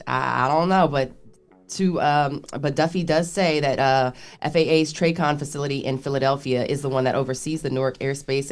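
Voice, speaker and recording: Low-voiced American woman reporting news, haltingly. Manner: halting, stumbling over words and restarting. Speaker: news reporter on a radio morning show. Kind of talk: news report with conversational asides.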